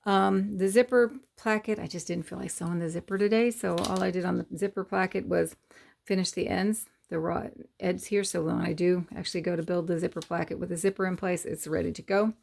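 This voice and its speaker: Hoarse, gravelly woman explaining sewing. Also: fairly low pitch, steady tone, fairly quick, a little mellow. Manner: rambling, hesitant with many fillers. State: hoarse, losing her voice. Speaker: sewing channel host. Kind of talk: casual sewing tutorial.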